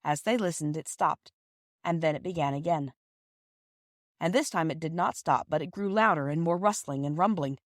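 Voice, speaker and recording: A woman's voice: low voice, normal speed, dry recording, studio quality. A woman reading a children's story aloud as scripted narration. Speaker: audiobook reader and podcast host.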